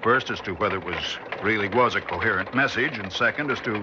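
An actor playing a calm, affable senior male scientist in a 1960s radio drama, measured and explanatory. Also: on the low side, background noise, lightly acted. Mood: calm, businesslike. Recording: muffled 1960s radio recording.

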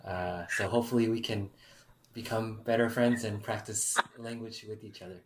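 A man in his thirties from California, talking in a relaxed, soft-spoken way in a casual group chat. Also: lowish voice, moderate pace, heavy background noise. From California, United States. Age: 30s. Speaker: podcast host. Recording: roomy echo.

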